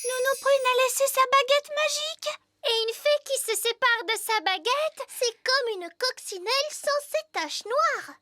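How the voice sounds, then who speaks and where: Very high, bright little fairy girl. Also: measured pace, no room sound, exaggerated voice. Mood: earnest. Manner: childlike, pleading, earnest. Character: eager, polite little fairy princess girl. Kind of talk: French-language cartoon dubbing.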